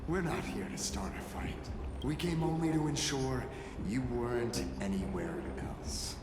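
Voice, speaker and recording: A man's voice: mid-range voice, expressive, a little slow, close to an everyday voice. An actor playing a sly, taunting villain's henchman in a video game, theatrical and mocking, drawing out his words. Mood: smug. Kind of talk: dramatic video game voice acting. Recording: echoey hall, noisy ambience.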